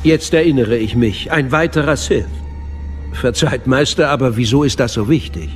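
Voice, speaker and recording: An actor playing a dignified older chancellor in a radio play, with a formal, measured manner. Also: male voice, medium pitch, faint music behind, natural voice. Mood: conciliatory. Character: dignified, smooth-talking older male chancellor. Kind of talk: dramatic radio play acting.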